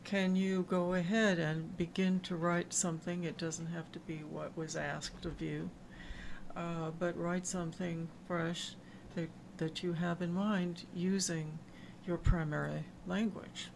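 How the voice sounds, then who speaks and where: A woman on a writers' panel, gently encouraging and repeating her words. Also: fairly low pitch, flat delivery, unhurried, vocal fry, slight background noise. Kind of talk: casual panel discussion.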